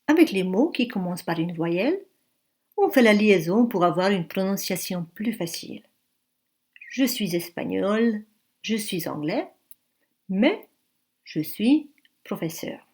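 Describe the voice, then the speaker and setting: A woman in her forties leads a beginner language lesson. She speaks at a very unhurried pace, laying out each word carefully. Her pitch sits in the middle of the usual range. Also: animated, darkish tone. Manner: slow, careful classroom delivery. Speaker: French teacher.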